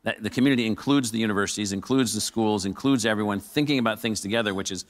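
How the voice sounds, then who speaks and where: A man's voice: on the low side, quick pace. A man moderating a panel discussion, talking informally with short prompts and recaps. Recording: reverberant hall.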